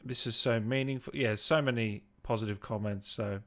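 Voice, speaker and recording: A man's voice: fairly low pitch, steady tone, moderate pace, a bit bright. A man hosting a casual online interview, speaking in an agreeable, appreciative way. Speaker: interview host.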